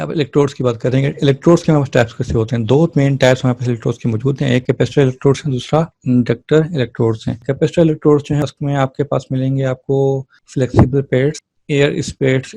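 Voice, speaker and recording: A man's voice: medium pitch, fairly even intonation, fast, vocal fry, few pauses, mellow, no room sound. A man lecturing as a physiotherapy teacher, explaining things step by step in an informal way and often checking in with listeners.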